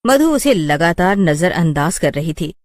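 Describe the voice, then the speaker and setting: A woman's voice: lowish voice, very animated, a little slow, dry recording. A woman narrator telling a scripted story in a storytelling style.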